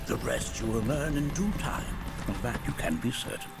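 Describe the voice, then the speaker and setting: A man playing an elderly village elder in a video game, wise and wry, speaking in formal, measured, archaic phrases. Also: mid-range voice, animated, vocal fry. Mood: grave. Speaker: elder overseeing a trial. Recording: loud music under the voice. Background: loud game music.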